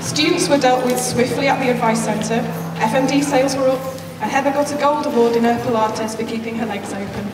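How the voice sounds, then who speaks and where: A woman's voice: a little high, fairly even intonation, fairly quick, hardly any pauses, far from the mic, over music. A woman giving scripted storybook narration on stage as a pantomime narrator. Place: large hall, live stage performance, recorded from afar. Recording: echoey hall from afar, crowd, loud noise.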